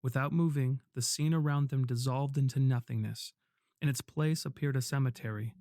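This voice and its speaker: A man's voice: mid-range voice, steady tone, normal speed, dark tone, no echo, studio quality. A man reading scripted narration in a radio play.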